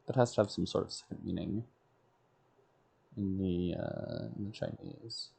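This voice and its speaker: A man with a husky, gravelly voice giving casual live commentary as he streams a game playthrough. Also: low voice, steady tone, unhurried, mellow.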